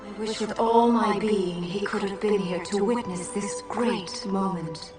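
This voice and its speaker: A woman playing a solemn, dignified leader in a video game, giving a formal, measured public address. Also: medium pitch, steady tone, natural voice. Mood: solemn, sorrowful. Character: solemn, dignified female leader of digital beings. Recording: echoing, distant, music behind.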